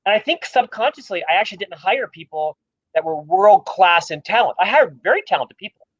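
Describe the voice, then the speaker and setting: A man with a high, light voice and a stutter speaks emphatically and self-deprecatingly. The sound is dull and lo-fi, like a livestream call. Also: expressive, a little fast, a bit bright. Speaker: author and guest speaker. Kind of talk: livestream interview talk.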